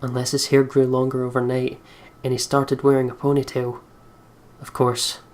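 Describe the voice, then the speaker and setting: A man hosting a let's play, reading visual novel narration aloud in an expressive storytelling way. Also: mid-range voice, moderate pace.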